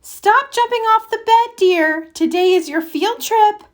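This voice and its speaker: A woman voicing a fussing, affectionate frog mother in a storybook, calling out and gently scolding. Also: extremely high pitch, unhurried, pitched above the performer's own voice.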